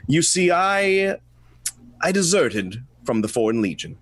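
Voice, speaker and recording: A man's voice: fairly high pitch, sing-song, measured pace, no room sound. A man playing a polite, evasive English expatriate in a comic radio play, hesitant and theatrical.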